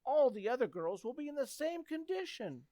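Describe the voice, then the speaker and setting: A woman playing an anxious, excitable teenage boy with whiny, flustered exclamations in old-time radio comedy style. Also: sits high, normal speed, no echo, studio quality.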